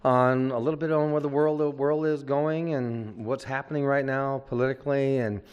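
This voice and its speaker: A man's voice: mid-range voice, fairly even intonation, moderate pace, a little mellow, smooth, lo-fi sound. An older man, a veteran actor, chatting at length in an on-set interview, rambling with many asides.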